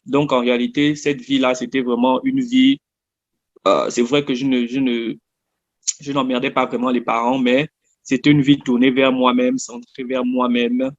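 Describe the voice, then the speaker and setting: A man with a Gabonese accent shares a personal testimony in conversation. His voice sits at a middle pitch and he often restates a phrase to stress it. The sound is dry and somewhat dull, like lo-fi online audio. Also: normal speed, no echo. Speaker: interview guest giving testimony.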